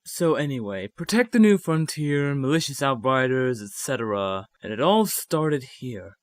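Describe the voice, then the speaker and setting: A man's voice: mid-range voice, no echo, lightly acted. A man playing an earnest, self-important space cavalry commander in a cartoon parody, formal and easily exasperated.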